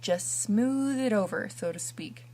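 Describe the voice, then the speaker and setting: Woman reading a timid elderly farmer, hushed and hesitant. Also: mid-range voice, animated, unhurried. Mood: coaxing. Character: shy, kindly elderly male farmer.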